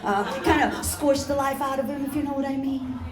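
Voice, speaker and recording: A woman voicing a meek male sheriff who stammers and fawns in a low mumble. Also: fairly even intonation, brisk, roomy sound, music in the background, lightly acted.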